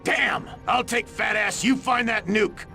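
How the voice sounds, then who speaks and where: An actor playing a furious, foul-mouthed military commander who barks threats in a video game cutscene. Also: male voice, high voice, steady tone, a little slow, vocal fry, pushed and bright, soft background music, heavily acted. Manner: barking, menacing.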